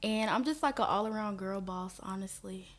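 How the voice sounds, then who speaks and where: A woman's voice: medium pitch, normal speed. A woman in her twenties with a Southern US accent, a guest on a podcast in a studio, talking in a laid-back way with casual slang and frequent laughs. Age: 20s. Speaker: guest entrepreneur.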